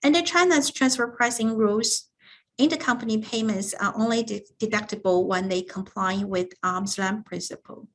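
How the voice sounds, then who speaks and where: A woman with a mid-range voice delivers a formal explanatory presentation. She reads from prepared material in a halting way, with frequent hesitations between phrases. The tone is that of an adviser explaining business rules. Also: mid-range voice, fairly bright tone.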